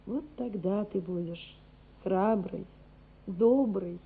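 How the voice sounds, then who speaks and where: A woman's voice: mid-range voice, husky, full character voice. An actress playing an earnest, loving mother who lectures her small son emphatically in a radio drama. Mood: earnest, fervent. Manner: emphatic, measured moral exhortation. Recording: narrow, muffled phone-like radio sound.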